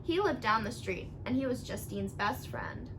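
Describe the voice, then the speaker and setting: A woman reading a children's picture book aloud in an expressive storytelling style. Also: mid-range voice, moderate pace. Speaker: storybook reader. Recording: clearly noisy recording with background ambience.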